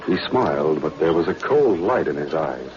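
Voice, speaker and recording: A man's voice: rumbling low, very animated, moderate pace, crowd noise. A man narrating a spy adventure in scripted, solemn 1940s radio style. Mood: dramatic, measured. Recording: dull, faintly noisy vintage radio recording.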